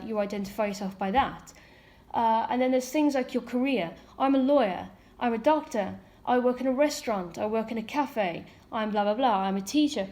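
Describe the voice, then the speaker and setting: A woman from Ireland talks to camera in a loose, unscripted monologue. Her voice sits a little high, and she drifts between earnest points and scoffing asides, padding her speech with fillers as she thinks aloud. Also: a little mellow. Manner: candid, frequent fillers and mocking asides. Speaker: ex-member commentator. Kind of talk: casual monologue commentary.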